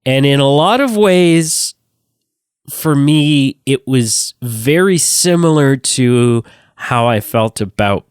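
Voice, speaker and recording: A sick, nasal-sounding man hosts a casual film review podcast, lively and quick to curse or laugh. His voice sits at an ordinary middle pitch. The recording is dry and close to the mic. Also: clean tone, dry recording. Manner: animated, swearing, laughing often. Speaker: podcast host. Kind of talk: casual movie review chat.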